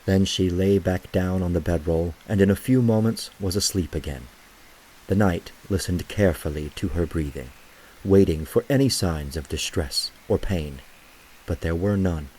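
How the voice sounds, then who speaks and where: A man with a very low, mellow voice narrates a scripted story. His tone is dark and husky, and he tells it in a measured, gentle way, as an audio drama narrator. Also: rough recording.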